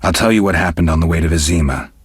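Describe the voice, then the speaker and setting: A man playing a stoic, world-weary middle-aged monster hunter in a video game, speaking in a gravelly, husky voice with dry, laconic restraint. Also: deep voice, fast talker, dry recording. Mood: measured, guarded. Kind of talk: scripted video game character dialogue.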